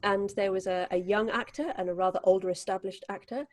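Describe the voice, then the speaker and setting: A British woman chatting casually and telling theatre-style anecdotes in an animated way. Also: medium pitch, a little fast, slight background noise. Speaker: stage performer.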